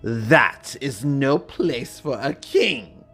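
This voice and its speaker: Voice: medium pitch, animated, drawn-out words, a bit bright, breathy edge, soft background music, exaggerated voice. A narrator voicing an arrogant, unhinged young prince with theatrical, sneering shrieks in a dramatic fantasy audiobook. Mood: haughty.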